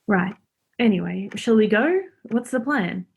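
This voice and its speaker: A woman's voice: mid-range voice, lively intonation, a little slow, gravelly, darkish tone, muffled recording, a little hiss or hum. An actress in a radio play playing a teasing, blunt young woman, a devoted girlfriend and sister, in a naturalistic style. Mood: reassuring.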